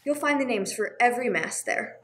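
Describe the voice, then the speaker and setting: A woman playing a gentle, earnest, sorrowful nun in a radio play, speaking with some hesitation. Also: on the high side, lively intonation, fairly quick. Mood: earnest, helpful. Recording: clear background noise, room ambience.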